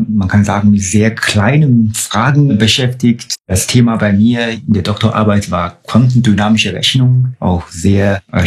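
A man from China, speaking German with a Chinese accent as a non-native speaker, answering interview questions in a thoughtful, reflective way. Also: fairly low pitch. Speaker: podcast guest.

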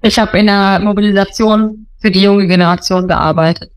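A woman's voice: medium pitch, steady tone, normal speed, no echo. A woman in her thirties, a missionary, telling her story in an interview, earnest and often restarting her sentences. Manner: earnest, searching for words, restarts. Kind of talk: casual interview talk.

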